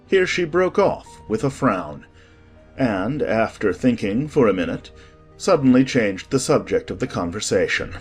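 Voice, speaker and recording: A man narrates a storybook in a low, husky voice. His pitch moves widely as he reads the scripted prose expressively. Soft music plays behind. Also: very animated, a little slow.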